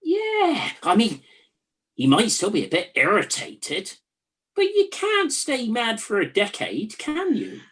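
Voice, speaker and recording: Bored sentry in a spoof radio play acted: husky, very sing-song delivery, blunt matey mockery. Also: medium pitch, huge pitch swings, slow pace, a bit bright, heavily acted. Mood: musing. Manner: dry, mocking, matey banter. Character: bored, teasing, blunt Trojan soldier on night watch. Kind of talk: comic radio play dialogue.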